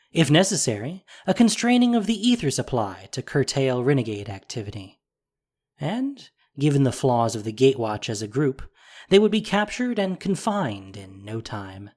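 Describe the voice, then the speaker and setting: A narrator reading a scripted story in an expressive, deliberate storytelling manner. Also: mid-range voice. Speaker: narrator and producer. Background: music.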